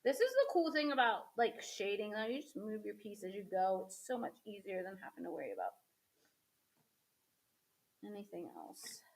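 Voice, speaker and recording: A woman's voice: mid-range voice, very animated, moderate pace, gravelly, low-quality recording. A woman hosting a crafting demo, chatting casually to viewers as she paints, a little sniffly from a cold. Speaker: craft host.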